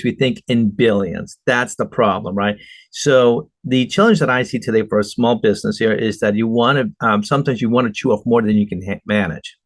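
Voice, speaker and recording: A man's voice: medium pitch, no echo. A middle-aged man from Argentina, a guest entrepreneur telling earnest stories in an interview. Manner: earnest, storytelling, emphatic. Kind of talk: conversational interview answers.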